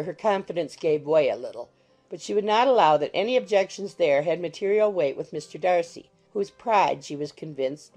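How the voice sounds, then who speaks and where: A woman's voice: lowish voice, warm tone. A woman reading scripted literary narration for an audiobook.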